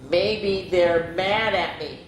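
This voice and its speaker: A woman's voice: on the low side, takes it slowly, voice pushed up. Woman reading as young boy owl, earnest, eager to seem wise, sing-song, storybook, roomy echo. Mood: worried. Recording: roomy echo with faint noise.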